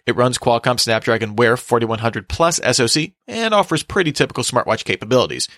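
A man reading scripted tech news as a podcast host in a matter-of-fact, measured way. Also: medium pitch, animated, a bit bright, dry recording, clean studio sound.

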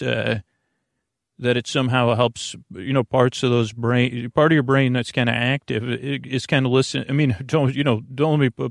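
Creaky-voiced man rambling through a bedtime monologue. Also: mid-range voice, no echo, clean studio sound. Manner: halting, meandering, self-deprecating, reassuring. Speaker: podcast host.

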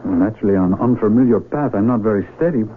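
An actor playing an astonished, earnest young mountaineer in a 1970s radio drama, hesitant and apologetic, on a narrow broadcast recording. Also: male voice, lowish voice, fast, a little hiss or hum, natural voice. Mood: shaken, earnest. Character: earnest, astonished young male mountaineer, shaken avalanche survivor.

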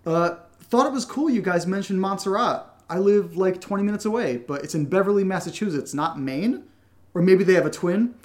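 A man's voice: sits high, animated, brisk, darkish tone. An American man from Connecticut co-hosting a podcast, answering questions in casual, irreverent, wisecracking chat. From Connecticut, United States. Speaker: podcast co-host and audio drama writer.

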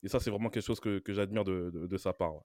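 A man with a fairly low voice in a very dry recording. He speaks as a podcast panelist in casual discussion, returning to the same phrase to hammer each point home. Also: fast, darkish tone, no echo. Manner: builds points through emphatic repetition. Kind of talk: admiring opinion in casual discussion.

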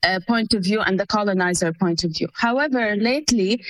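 A woman's voice: medium pitch, a little fast, a bit bright, dry recording, poor audio. A woman of Palestinian family background, answering interview questions in an earnest, explanatory way with many fillers. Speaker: guest.

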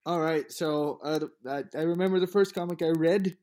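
A man from Europe speaks as an interview guest. His voice is warm and mellow and sits a bit high for a man. He talks in a halting way, restarting his sentences and laughing easily. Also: fairly even intonation. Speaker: guest comic creator. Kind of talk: casual interview chat.

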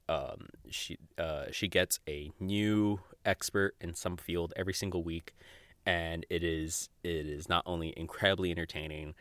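A man hosting a podcast solo in casual, rambling talk, halting and self-conscious, prone to giggling. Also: deep voice, a bit bright, no room sound, studio quality. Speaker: podcast host.

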